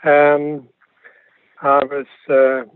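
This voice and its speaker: A man's voice: on the high side, completely flat delivery, very slow, clean tone, no room sound. An older Australian man, a guest, recalling his life in a hesitant way, with many ums and restarts, heard over a phone. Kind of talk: relaxed recollection of his life. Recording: dry, tinny phone line.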